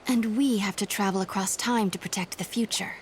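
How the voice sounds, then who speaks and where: An actress playing an earnest, hopeful young heroine in a video game cutscene. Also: female voice, mid-range voice, fairly quick, slight background noise, close to an everyday voice. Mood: determined. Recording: music behind the voice.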